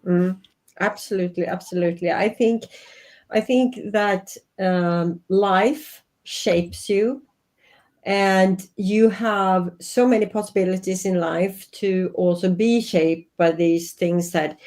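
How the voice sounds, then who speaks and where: Swedish woman, 50s, interview guest; unhurried, earnest delivery over a video call. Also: medium pitch, steady tone, a little mellow. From Sweden. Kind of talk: reflective interview talk. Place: home office.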